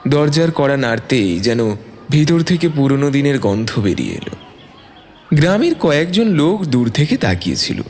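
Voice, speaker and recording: A man's voice: mid-range voice, sing-song, moderate pace, a little mellow, slight background noise. A man narrating a scripted dramatic story as a storyteller. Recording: long reverb, music bed. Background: music.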